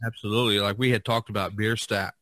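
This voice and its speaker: A man with a lowish voice hosts a casual podcast discussion. He feels his way along in a hesitant, meandering manner. The sound is dry and close. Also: fairly even intonation, fast talker, fairly bright tone, no room sound. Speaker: podcast host.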